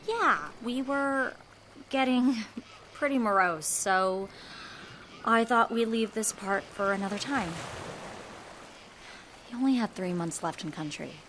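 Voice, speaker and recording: A woman voicing a guarded young woman in an audio drama, quiet and wry about her grief. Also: on the high side, slow, pauses often, a little hiss or hum. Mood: wistful. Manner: dry, wry, subdued.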